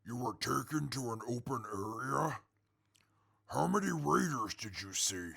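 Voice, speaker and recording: Casting: male actor as domineering wasteland prisoner, heavily creaky and raspy, halting, blunt and irritable, for audio drama. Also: mid-range voice, lively intonation, fairly bright tone, heavily acted. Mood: strained questioning. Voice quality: very gravelly, raspy. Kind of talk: post-apocalyptic audio drama acting.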